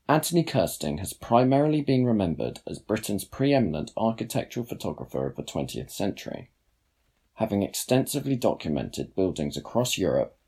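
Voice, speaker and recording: A young man reading a scripted essay aloud in a measured, formal manner. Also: deep voice, vocal fry, mellow, breathy edge. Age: young adult. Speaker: student writer reading own blog post. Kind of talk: scripted narration, read aloud.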